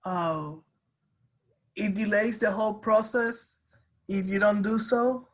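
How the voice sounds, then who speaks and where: A program staff member hosting a grants webinar, speaking with many fillers. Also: mid-range voice. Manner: slow, hesitant, many fillers. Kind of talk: webinar presentation.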